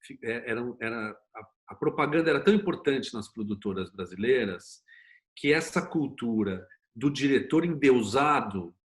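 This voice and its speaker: A man's voice: medium pitch, lively intonation, normal speed. A Brazilian man speaking as a guest in a casual discussion, emphatic and colloquial. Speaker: interview guest, producer and screenwriter.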